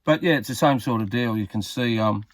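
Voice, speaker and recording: A man's voice: mid-range voice, a little fast, dry recording. A man giving a casual hands-on repair tutorial, talking tentatively and muttering as he works. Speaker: hobbyist demonstrating a radio repair.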